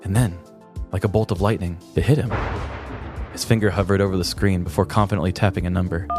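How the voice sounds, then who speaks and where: A man with a lowish voice tells a scripted story with mock drama. Soft music sits behind his podcast narration. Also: fairly even intonation, fast. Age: 30s. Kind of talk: scripted true-crime comedy narration. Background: music bed.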